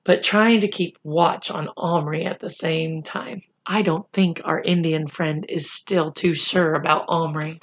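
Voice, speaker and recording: A woman reading a children's chapter book aloud as a storyteller, with deliberate, expressive narration. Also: low voice, muffled recording. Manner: deliberate, animated.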